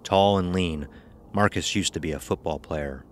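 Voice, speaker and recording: A man narrating a scripted horror story in a measured, ominous manner. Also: low-pitched, slight background noise.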